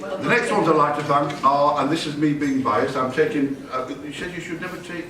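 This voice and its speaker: A man's voice: fairly high pitch, a little fast, bright, forceful tone. An older man giving a jokey, rambling father-of-the-bride wedding speech, often correcting himself as he goes. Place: wedding reception hall. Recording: echoey hall from far off, noisy.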